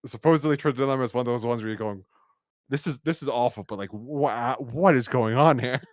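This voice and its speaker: A man's voice: mid-range voice, fairly quick, no room sound, clean studio sound. A man co-hosting a podcast, chatting casually and enthusiastically through a review in a rambling way. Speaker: podcast co-host.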